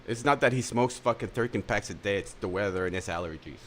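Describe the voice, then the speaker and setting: A man's voice: lowish voice, fast talker, very noisy. A man streaming, reacting to a clip with sarcastic, crude commentary and rambling asides to his chat.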